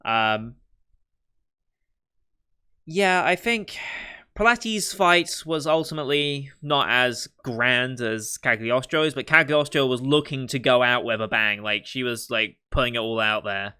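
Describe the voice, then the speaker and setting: A man hosting an anime reaction, thinking aloud in casual commentary and musing over what the characters will do next, with the odd soft laugh. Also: medium pitch, measured pace, smooth. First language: not Japanese.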